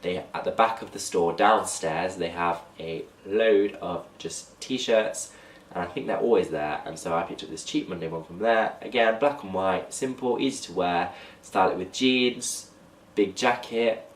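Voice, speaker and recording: A man's voice: deep voice, unhurried, recorded from a distance. A young man with a slightly blocked, nasal voice, chatting casually to camera in a clothing haul vlog. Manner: chatty, talks to camera while holding up clothes. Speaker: fashion vlogger. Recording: echoey room, voice off-mic.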